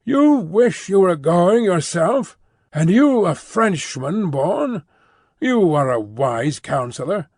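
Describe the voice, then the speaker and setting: An elderly, loyal banker from a classic English novel, acted out by a male audiobook reader. He speaks in a raised male register with brisk, emphatic, old-fashioned phrasing and stubborn good cheer. The sound is very dry. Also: sits high, big pitch swings, darkish tone, husky, dry recording, voice pushed up, full character voice. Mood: scolding. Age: late seventies. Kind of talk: audiobook character dialogue.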